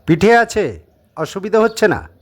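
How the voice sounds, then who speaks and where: A man's voice: high-pitched, sing-song, a little slow, pitched above the performer's own voice, full character voice. A man voicing a polite, curious young visitor from the city in an audio drama. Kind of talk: Bengali audio story acting.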